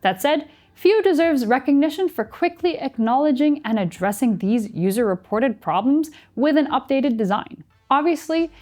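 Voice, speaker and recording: A young adult woman narrating a scripted product review as its presenter, clearly enunciated with dry, playful touches. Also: fairly high pitch, darkish tone. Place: small indoor studio set. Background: music.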